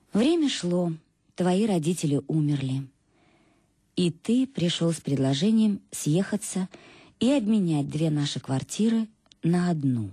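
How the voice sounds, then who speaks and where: Husky, expressive middle-aged wife. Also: female voice, low-pitched, no echo, studio quality. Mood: resigned. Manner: confessional, ironic, addressing an absent husband. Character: embittered, reflective wife recalling her unhappy marriage. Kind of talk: dramatic first-person monologue in a radio play. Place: dry studio.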